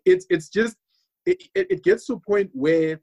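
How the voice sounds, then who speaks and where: A man speaking earnestly in a panel discussion, husky and emphatic, repeating words for stress. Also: sits high, sing-song, normal speed, darkish tone.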